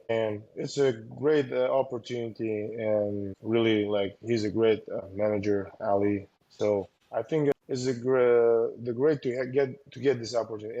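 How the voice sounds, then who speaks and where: A man, a combat sports coach, talking in a casual interview. He speaks haltingly, with many fillers and restarts, and gives earnest advice. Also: medium pitch, dark tone, highs cut off.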